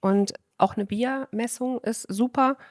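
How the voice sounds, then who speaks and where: An emphatic woman speaking as a doctor on a podcast, explaining at length in a relaxed, chatty way. Her voice is recorded dry and close, with almost no room sound. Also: on the high side, unhurried, no room sound. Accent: German. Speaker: physician guest. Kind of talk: casual podcast conversation.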